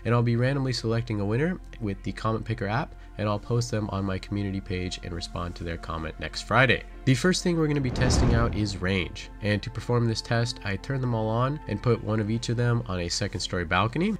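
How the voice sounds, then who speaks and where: Fast-talking man narrating a product review. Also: lowish voice. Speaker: product reviewer and channel host.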